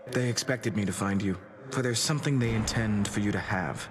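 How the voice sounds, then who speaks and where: A man playing a solemn, courteous god of doom in a video game, with formal, measured speech. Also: lowish voice, natural voice. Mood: solemn, regretful. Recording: music under the voice.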